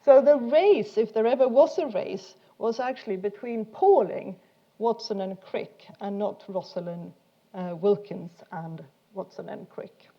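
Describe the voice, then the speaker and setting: A woman's voice: medium pitch, measured pace. Woman lecturing, slightly dull sound. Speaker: speaker giving a talk. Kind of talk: informal illustrated science lecture.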